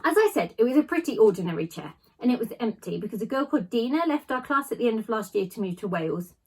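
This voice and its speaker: A woman reads a children's novel aloud like a teacher to a class, stressing words and pausing for drama. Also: a little high, animated, a little mellow, husky, low-quality recording.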